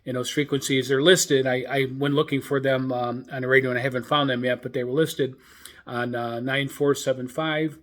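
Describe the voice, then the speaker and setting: Man, mid-pitched voice, informal radio news host, hobbyist commentary, halting and hesitant delivery.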